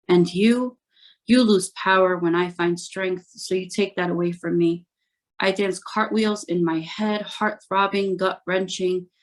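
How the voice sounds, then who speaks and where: Woman poet, lowish voice, reads her spoken word pieces slowly and deliberately in short lines. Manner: measured, deliberate delivery in short phrases. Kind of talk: spoken word poetry reading.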